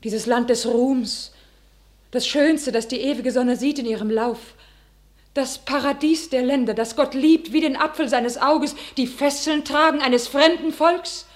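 An actress playing a young shepherdess turned holy warrior, declaiming classical verse in a solemn, exalted manner in a radio drama. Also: female voice, high voice, a little slow. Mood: prophetic fervour.

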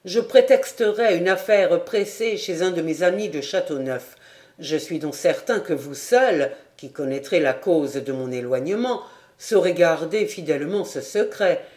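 A woman's voice: lowish voice, a little mellow, lightly acted. A woman voicing an earnest, resolute young nobleman who declares his feelings in a storybook reading.

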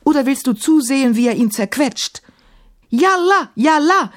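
A young girl from a fantasy storybook, voiced by a woman narrator. She sounds anxious yet brave, pitched up, with a pleading, urgent way of talking. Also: lively intonation, a little fast, a little mellow, slightly rough. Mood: urgent, shouting.

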